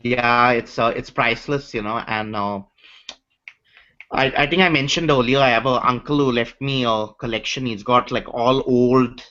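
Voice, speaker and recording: A man from India with an Indian accent, chatting casually on a video call and talking in halting bursts with many restarts. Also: medium pitch, bright, forceful tone. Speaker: hangout participant, coin collector. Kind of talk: casual group chat. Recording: dull lo-fi online call audio.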